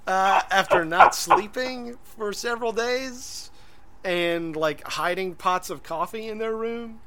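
A man's voice: high voice, slow pace, noisy background. A man co-hosting a podcast in casual chat, rambling and hesitant, full of fillers and restarts. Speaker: podcast co-host.

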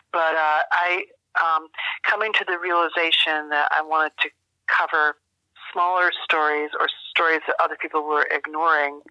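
Creaky-voiced older woman on a dull line. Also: low-pitched, pushed and bright, no echo. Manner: measured, thoughtful, searching for words. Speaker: guest, journalist and journalism teacher. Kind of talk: reflective interview conversation.

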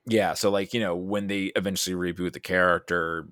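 A man chatting casually as a podcast guest, rambling along with animated, emphatic asides. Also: low voice, a little fast, no echo, studio quality.

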